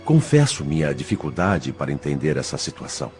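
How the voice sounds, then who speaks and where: A deep, expressive male figure in an audiobook, voiced by a narrator. He is troubled and earnest, speaking formally and respectfully. Light music sits behind him. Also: brisk, full character voice. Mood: perplexed, earnest. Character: troubled, earnest man questioning a spiritual mentor.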